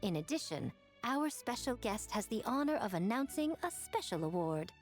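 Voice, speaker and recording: A woman's voice: a little high, very animated, moderate pace, soft background music. An actress playing a gracious, poised female festival official, making formal announcer-like remarks in scripted game dialogue. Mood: formal, reassuring.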